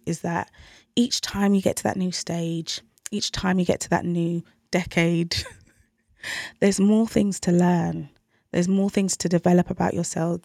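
A woman's voice: on the low side, vocal fry, dark tone, no room sound, clean studio sound. A woman in her thirties hosting a parenting podcast alone, talking in a conversational, reflective way and openly questioning herself. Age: 30s. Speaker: podcast host. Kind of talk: solo parenting podcast talk.

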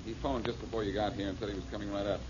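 A man playing a deep, cocky radio detective. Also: level tone, racing, close to an everyday voice. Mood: confident. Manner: cocky and assured. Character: tough, wisecracking male detective. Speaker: lead detective. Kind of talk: 1940s radio acting. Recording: muffled old radio broadcast, faint hiss.

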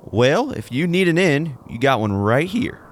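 A man's voice: on the high side, big pitch swings, a little slow, slight background noise. A man playing a friendly, earnest neighbor in an audio drama. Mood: folksy surprise. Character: friendly, folksy Southern male neighbor, curious and earnest. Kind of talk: church audio drama acting.

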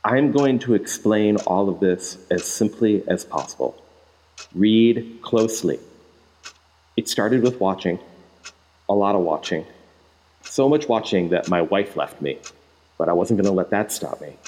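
A male actor playing an obsessed, haunted man who gives a grave, halting confession in a horror audio drama. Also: on the low side, a little mellow, close to an everyday voice, low-quality recording. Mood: grave, obsessive. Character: obsessed, haunted man recounting his descent into a screen. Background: music under the voice.

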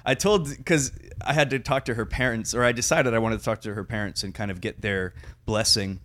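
A man in his mid-thirties hosting a casual chat, talking in a relaxed, rambling way. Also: fairly low pitch, brisk. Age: 30s. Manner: laid-back, rambling, laughs easily.

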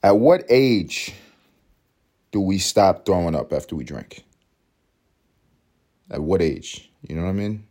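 A man in his thirties from New York City, hoarse and hungover, hosting a casual solo podcast in a rambling, profane way with emphatic repeats. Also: low-pitched, big pitch swings, takes it slowly, pauses often, dark tone. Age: 30s. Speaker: podcast host.

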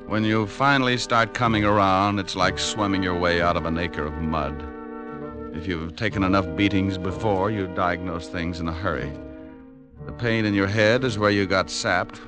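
A hardboiled private detective from a vintage radio show, played by a male actor. His American voice sits low, and he delivers wry, laconic lines like a man who has seen it all. Music plays prominently behind him on the old recording. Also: normal speed, lightly acted. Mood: weary, wry. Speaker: lead actor and narrator. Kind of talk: 1950s radio detective acting, hardboiled narration.

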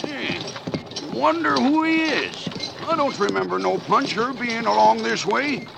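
A cranky, easily scared stagecoach driver of the Old West in a 1940s radio play. The voice is strikingly high and full of crackle, slow and drawling in folksy American. The old recording is very noisy. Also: male voice, lively intonation, creaky, full character voice. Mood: nervous. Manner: folksy, hollering, rustic drawl.

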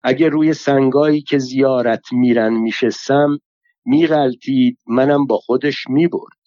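A man's voice: mid-range voice, fairly even intonation, dark tone, no echo, close to an everyday voice. A man voicing a foul-mouthed older woman pilgrim who curses and complains in a stream of folk sayings, on a slightly dull recording. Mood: scolding.

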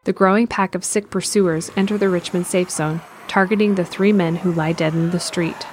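A woman reading scripted narration for an audio drama in a measured, descriptive way. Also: lowish voice, steady tone, slight background noise.

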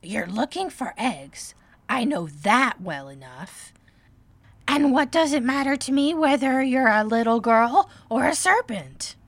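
A woman's voice: expressive, measured pace, no echo. A woman playing an agitated, indignant mother pigeon in a storybook reading, shrieking and plaintive, with emphatic, contemptuous scolding. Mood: sulky. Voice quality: shrill, creaky, shrieking.

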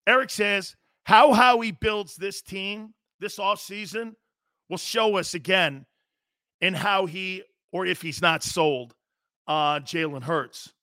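A man's voice: high voice, takes it slowly, sharp tone, no room sound. A man hosting a sports talk show, speaking emphatically and reading listener messages aloud from a studio.

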